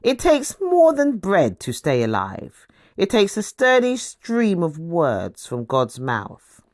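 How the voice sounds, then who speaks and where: Woman reading as a firm, deliberate teacher. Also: fairly low pitch, huge pitch swings, a little mellow, no room sound, clean studio sound, exaggerated voice. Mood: firm, calm. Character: Jesus, firm, authoritative male teacher. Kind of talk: dramatized scripture storytelling.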